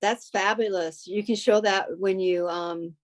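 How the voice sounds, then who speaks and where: A woman's voice: mid-range voice, fairly even intonation, a little slow. A woman co-host chatting casually, guiding her friend step by step with lots of likes and ums. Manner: friendly, gives step-by-step advice with many fillers. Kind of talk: casual chat.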